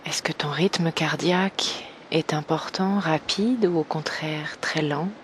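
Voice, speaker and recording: A woman guiding an evening meditation slowly and gently, in a soft, breathy voice that nears a whisper, with long pauses. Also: sits low, a little hiss or hum. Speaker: meditation guide. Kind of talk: guided evening meditation, yoga nidra.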